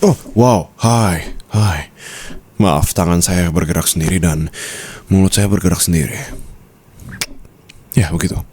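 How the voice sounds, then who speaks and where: Man playing a very deep, flirty mafia boss. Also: darkish tone, breathy edge, heavily acted. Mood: teasing, amused. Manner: slow, teasing murmur, mixing in English phrases. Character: possessive, teasing mafia boss husband, smooth and flirtatious. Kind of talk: intimate ASMR roleplay.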